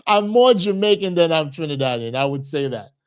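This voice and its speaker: A man's voice: fairly high pitch, smooth, dry recording. A middle-aged man hosting a casual livestream, talking earnestly to his viewers with lots of restarts and repeated thank-yous. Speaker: livestream host.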